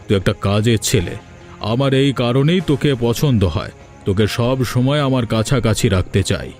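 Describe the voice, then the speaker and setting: A man's voice: medium pitch, animated, normal speed, darkish tone, soft background music, lightly acted. A man voicing a patronising, grumbling boss of crop thieves in a cartoon. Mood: pleased, patronising. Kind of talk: Bengali cartoon voice acting.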